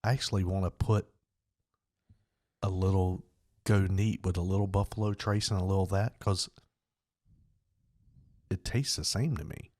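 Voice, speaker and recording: A man's voice: sits low, fairly even intonation, moderate pace, pauses often, darkish tone. A man with a Southern US accent co-hosting a casual podcast chat, talking in a laid-back, drawling, soothing way. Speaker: podcast co-host. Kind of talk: casual chat over bourbon.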